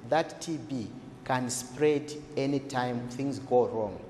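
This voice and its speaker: A man speaking as a health expert in a didactic, deliberate way, asking questions and answering them himself as he explains. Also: medium pitch, darkish tone. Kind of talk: explanatory interview talk for a documentary. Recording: echoey hall-like room, faint noise.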